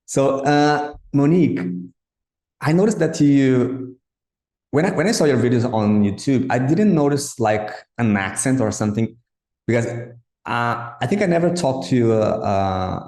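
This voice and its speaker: Halting host, Portuguese native speaker. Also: male voice, mid-range voice, animated, darkish tone, echoey room. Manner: hesitant, halting with restarts. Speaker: podcast host. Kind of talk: interviewing a guest.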